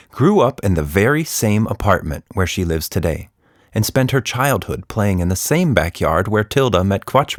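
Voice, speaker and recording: A man's voice: fairly low pitch, no echo, clean studio sound. A man telling a story for children as its author, playfully teasing and speaking straight to the listener. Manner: animated, teasing, talks directly to the listener.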